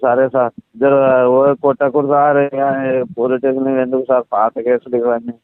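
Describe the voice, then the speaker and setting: A man's voice: flat delivery, fairly quick, no room sound. A man with a Telangana accent talking on the phone in a deferential, defensive, pleading way. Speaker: prank call target. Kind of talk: phone conversation. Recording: tinny low-fi phone line.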